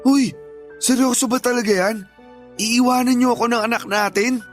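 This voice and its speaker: A very high-pitched cheating partner in a radio drama, pleading not to be left. Faint music plays beneath his voice. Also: steady tone, normal speed. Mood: anxious pleading. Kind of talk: Tagalog radio drama acting.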